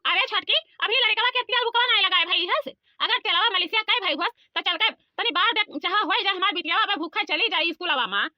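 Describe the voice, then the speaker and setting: A woman's voice: very high-pitched, brisk, hardly any pauses, dry recording, dull audio. A woman voicing a bossy, coaxing elderly village mother-in-law in a comic cartoon, nagging and instructing with an Awadhi accent.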